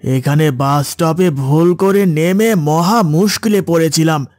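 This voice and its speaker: A man's voice: fairly high pitch, measured pace, a little mellow, no room sound, studio quality, lightly acted. A man playing a stranded traveller heading home, speaking politely and plainly in a horror cartoon. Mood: explaining. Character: stranded male traveller going home on leave. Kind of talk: Bengali horror cartoon dialogue.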